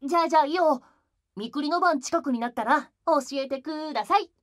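Bright, high, girlish young male idol. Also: a little fast, no echo, studio quality. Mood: cheerful. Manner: cute, bubbly, refers to self by name. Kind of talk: Japanese voice drama acting.

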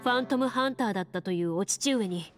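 A woman's voice: medium pitch, quick pace, natural voice. A woman voicing a stern, composed young female champion in a Japanese game, firm, blunt and commanding. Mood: stern, urging. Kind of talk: Japanese anime game voice acting. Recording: music behind the voice. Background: game music.

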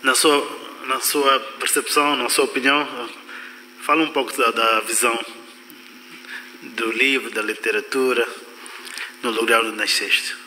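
Male moderator, Mozambican accent. Forceful, sharp tone, rephrased questions, noisy echoing conference room. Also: medium pitch, fairly even intonation, unhurried, long pauses. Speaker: panel moderator. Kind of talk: moderating a panel, putting questions to guests. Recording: reverberant room with background noise.

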